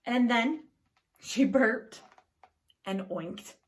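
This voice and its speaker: A woman with a fairly high voice reads a children's picture book aloud. She goes very slowly and deliberately, often turning to her young listeners with questions. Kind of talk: read-aloud storytelling with asides to young listeners.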